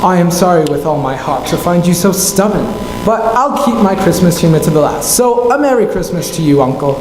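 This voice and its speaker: A cheerful, good-hearted nephew in a live radio play, played by a man. The voice is high for a man, earnest and friendly, and it echoes around a hall. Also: animated, fairly quick, a little mellow. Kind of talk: stage radio play acting. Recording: echoey hall, faint noise.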